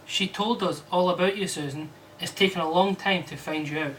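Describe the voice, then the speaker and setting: A man's voice: high voice, fairly bright tone. Stern accusing man, noisy recording. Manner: cold, commanding, deliberate. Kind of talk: old radio drama acting. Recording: very noisy old radio recording.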